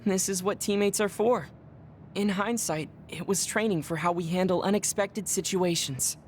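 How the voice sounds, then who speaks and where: A woman's voice: mid-range voice, slight background noise, natural voice. A woman playing a composed, matter-of-fact young soldier in an anime game dub, speaking formally. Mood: calm. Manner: formal, measured phrasing. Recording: music clearly behind the voice.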